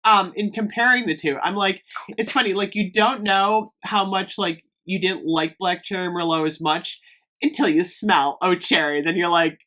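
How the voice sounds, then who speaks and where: Chatty slightly hoarse woman vlogger. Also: on the low side, normal speed, a bit bright. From Northeast Ohio. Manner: chatty, lots of "like" and "um" fillers. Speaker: beauty and fragrance vlogger. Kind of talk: casual chat to camera.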